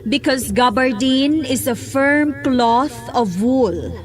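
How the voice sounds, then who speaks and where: A woman playing a strict schoolteacher in an audio drama, speaking deliberately with careful enunciation over music. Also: high-pitched. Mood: measured lecturing. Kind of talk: amateur audio drama acting.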